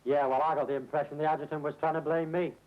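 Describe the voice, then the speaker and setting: Actor as aggrieved fast-talking soldier, tinny sound. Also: male voice, a little high, flat delivery, close to an everyday voice. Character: aggrieved soldier insisting he was blameless. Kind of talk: 1970s army training film acting. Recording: thin, phone-like old film soundtrack.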